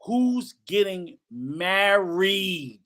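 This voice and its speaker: A man's voice: high voice, sing-song, drawn-out words, a bit bright. A man in a casual chat, arguing his point and teasing the host. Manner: argumentative, teasing.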